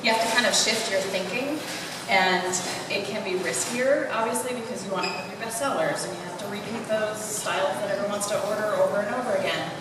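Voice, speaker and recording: A woman speaking as a creative design consultant on a panel, measured and thoughtful. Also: mid-range voice. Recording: echoey hall from far off, noisy.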